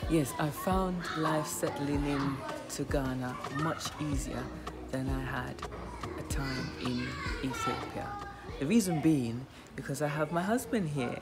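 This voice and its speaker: A middle-aged woman from Jamaica, a dance teacher talking in a relaxed, chatty way and laughing often, recorded outdoors. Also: low-pitched. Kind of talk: casual interview talk. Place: outdoors on a hill. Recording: music playing underneath. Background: music.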